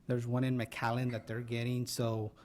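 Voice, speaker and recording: A man's voice: medium pitch, monotone, moderate pace, darkish tone. A man presenting a project update and answering questions at a public meeting.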